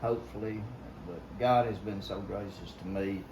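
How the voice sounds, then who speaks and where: A man's voice: lowish voice, normal speed. An older man with a Southern US accent telling stories casually in a folksy, rambling way. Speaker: guest, veteran hunter telling stories. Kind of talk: casual storytelling chat. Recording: roomy echo with clear background noise.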